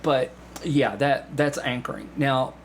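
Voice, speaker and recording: A man speaking as a podcast guest, explaining things casually in a halting way with repeated words and false starts. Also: medium pitch. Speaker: podcast guest, community theatre actor. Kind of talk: casual conversational explanation with anecdotes. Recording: clear background noise with room ambience.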